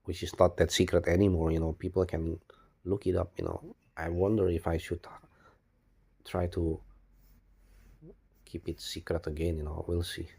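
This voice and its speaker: A man who is a non-native English speaker, giving a spontaneous, rambling monologue as a video blogger, halting and often repeating his words. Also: low voice, level tone, creaky, dark tone, slightly rough. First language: not English. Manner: repetitive, halting, restarts words and phrases often.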